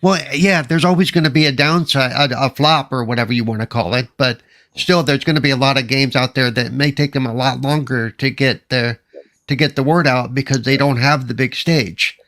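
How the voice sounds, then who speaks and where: A man's voice: medium pitch, brisk, fairly bright tone. A man in a casual panel debate about games, speaking in an insistent, argumentative way. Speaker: podcast panelist.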